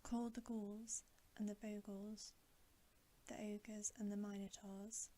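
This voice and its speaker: A woman's voice: mid-range voice, flat delivery, slow pace, warm tone. A woman voicing a cold, scornful, commanding witch queen in a whispered storybook reading.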